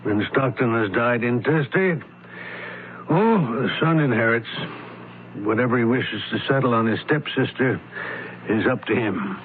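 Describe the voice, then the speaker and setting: An actor playing a weary, fretful estate lawyer, dry and emphatic, in a radio mystery drama. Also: male voice, medium pitch, animated, a little slow, lightly acted. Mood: fretful, confiding. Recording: noisy, tinny old radio broadcast.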